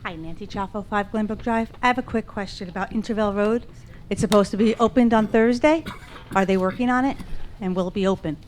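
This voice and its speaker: A woman's voice: medium pitch, fairly quick, a little mellow, a little hiss or hum. A woman speaking at public comment in a town council meeting, direct and asking questions. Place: council chamber.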